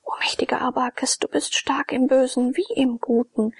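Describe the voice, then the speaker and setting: Brief: woman reader doing defiant young heroine, solemn, high and husky. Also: fairly even intonation, normal speed, no echo, studio quality, exaggerated voice. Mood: pleading.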